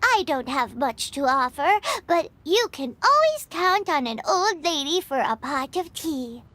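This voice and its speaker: A woman voicing a tiny, eager, cheeky fairy companion in a video game, with a squeaky childlike voice and perky, cutesy delivery. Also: very animated, a little slow, pushed and bright, no echo, exaggerated voice. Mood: mimicking.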